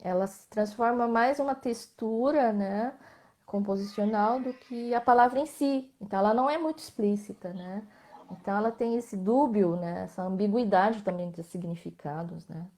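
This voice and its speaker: Mellow-voiced Brazilian woman, soft trailing tone. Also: mid-range voice, normal speed. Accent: Brazilian Portuguese. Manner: reflective, frequent tag questions, trailing off softly. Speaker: visual artist guest. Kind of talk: live online interview.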